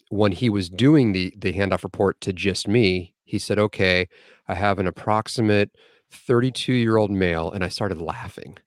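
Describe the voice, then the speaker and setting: A man's voice: low voice, no echo, studio quality. A man in his forties telling a story on a casual podcast, laid-back and swearing freely. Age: 40s. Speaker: podcast co-host and new EMT.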